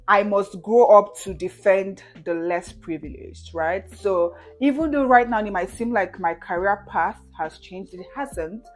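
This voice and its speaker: Chatty young woman vlogger over soft music. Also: medium pitch. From Nigeria. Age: 20s. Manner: chatty, frequent "you know" and "right?" fillers, laughs often. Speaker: vlogger answering viewer questions. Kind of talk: casual talk to camera.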